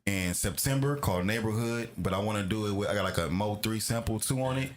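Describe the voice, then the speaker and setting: A man's voice: on the low side, quick pace. A man, co-host and rapper, talking casually in rambling, stop-start phrases. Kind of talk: casual chat.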